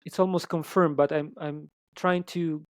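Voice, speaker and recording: A man's voice: a little high, steady tone, unhurried, no echo, clean studio sound. A man with an Italian accent, a musician guest chatting in an interview, hesitant with many ums and restarts. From Italy. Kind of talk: casual interview chat.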